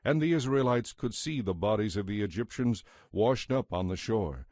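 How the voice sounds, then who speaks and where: A man narrating scripture as a dramatized reading, in a measured, declarative manner. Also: lowish voice, animated, a little mellow, no room sound. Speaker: Bible narrator.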